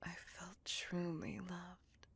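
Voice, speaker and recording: A woman voicing a melancholy, bitter female vampire, telling her life story in a breathy, intimate whisper as an ASMR roleplay monologue. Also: lowish voice, flat delivery, a bit bright, full character voice. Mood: reflective, subdued. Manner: slow, intimate, confessional storytelling. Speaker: voice actor in audio roleplay.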